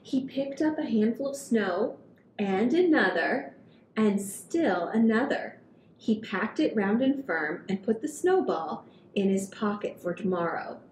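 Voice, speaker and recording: Slow, expressive woman reading to children. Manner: expressive, drawn-out storytelling for small children. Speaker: library story hour host. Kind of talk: read-aloud of a children's picture book. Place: small indoor room at a table. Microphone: headset. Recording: roomy echo, low rumbling noise.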